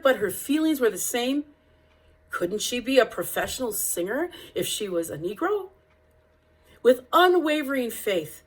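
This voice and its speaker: A woman's voice: on the high side, poor audio. A woman reading a children's picture-book biography aloud as storytelling narration, with an occasional small stumble.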